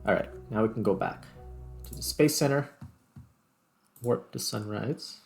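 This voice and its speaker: Male gaming host, mid pitch with fry, casual think-aloud commentary, muttered in places. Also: unhurried, darkish tone, faint music behind. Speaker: host, gaming video creator.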